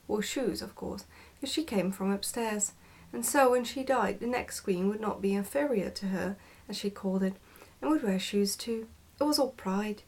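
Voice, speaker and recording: A woman's voice: medium pitch, a little mellow, lightly acted. Lady reading as a bossy goblin dad from a storybook, smug, pompous, likes explaining stuff.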